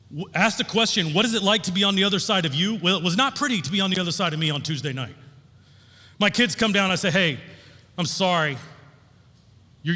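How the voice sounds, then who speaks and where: A middle-aged man delivers an informal sermon from a church stage. His voice sits high for a man, with a bright, forceful edge. He speaks candidly in a folksy storytelling style, and a long reverb trails his words through the hall. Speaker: pastor. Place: large church auditorium, on stage.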